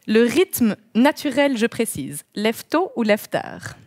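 Une jeune femme qui coanime une émission télé et enchaîne des questions rapides d'un ton vif. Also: female voice, on the high side, big pitch swings, darkish tone, no room sound, clean studio sound. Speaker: co-host. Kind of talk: TV interview, quick-fire questions. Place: TV studio set.

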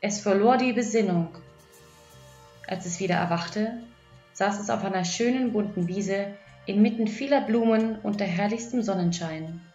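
A woman's voice: mid-range voice, normal speed, mellow. Female audiobook narrator for children's fairy tales; roomy, lo-fi sound with music underneath. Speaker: audiobook storyteller. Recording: echoey, dull lo-fi, music behind.

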